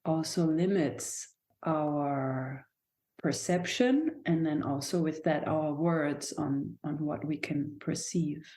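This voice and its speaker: German woman, low mellow voice, talks slow and thoughtful, lots of restarts. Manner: hesitant, searching for words with many restarts. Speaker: guest, dance and movement teacher. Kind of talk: reflective podcast conversation.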